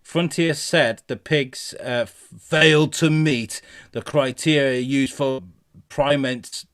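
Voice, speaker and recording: Male comedy podcast host, mid-pitched, casual, halting reader with a sweary streak. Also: fairly even intonation, fairly bright tone, clear, resonant tone. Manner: halting read-outs, sweary asides. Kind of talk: casual comedy news chat, reading stories aloud.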